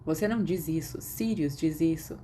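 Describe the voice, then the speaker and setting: A woman's voice: deep voice, moderate pace, mellow, slight background noise, close to an everyday voice. A woman with a Brazilian Portuguese accent voicing an awkward, subdued, self-doubting teenage boy in an audiobook. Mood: doubtful.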